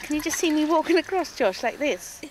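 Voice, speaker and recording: A woman's voice: sits high, animated, fairly quick, background noise. A woman talking casually and wryly while camping outdoors with her family. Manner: wry, joking. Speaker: mother on a camping trip. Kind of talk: casual chat.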